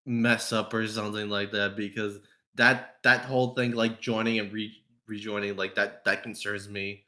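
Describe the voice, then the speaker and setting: A man hosting a casual podcast chat, halting and stammering as he repeats his words. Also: fairly low pitch, little pitch movement, bright, forceful tone. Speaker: podcast host.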